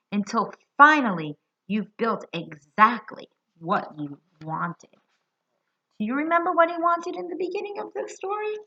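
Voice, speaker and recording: An American woman reads a children's story at an unhurried, very slow pace. Her voice is bright and forward, with deliberate, expressive phrasing and questions aimed at young listeners. The recording is a little dull and lo-fi. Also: mid-range voice. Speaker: children's hospital educator reading a picture book. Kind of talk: children's read-aloud storytelling. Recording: dull lo-fi sound.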